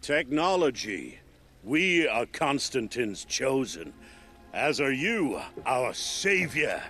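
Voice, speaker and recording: An actor playing a mocking, pretentious cultist artist, a talking severed head, theatrical and grandiose in a video game. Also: male voice, mid-range voice, sing-song, slow, a bit bright, soft background music, heavily acted. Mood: grandiose, knowing. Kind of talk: video game cutscene acting.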